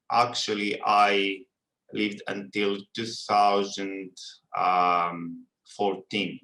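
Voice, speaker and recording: A middle-aged man with a Greek accent giving an informal autobiographical presentation as a guest academic speaker, haltingly, with many ums. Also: fairly low pitch, sharp tone. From Greece. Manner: hesitant, halting delivery with frequent ums. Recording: roomy, echoing sound.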